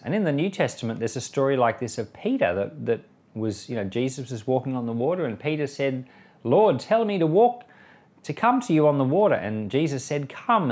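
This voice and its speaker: A man speaking as a pastor, giving informal Bible commentary and prayer in an earnest, explanatory, conversational way. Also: medium pitch. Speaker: pastor and Bible podcast host.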